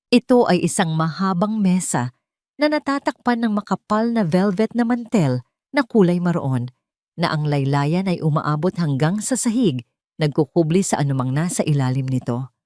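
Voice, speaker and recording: A woman narrating a scripted first-person drama in a deliberate, dramatic way with short phrases. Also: lowish voice, warm tone, dry recording, studio quality. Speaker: story narrator.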